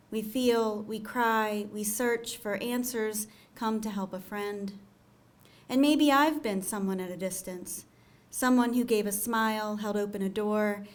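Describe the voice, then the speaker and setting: A young woman reading her own poem aloud at a podium, measured and soft, with hesitant fillers when she introduces it. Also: mid-range voice. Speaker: student poet reading her work. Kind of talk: poetry reading. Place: small lecture room with audience. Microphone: podium gooseneck mic.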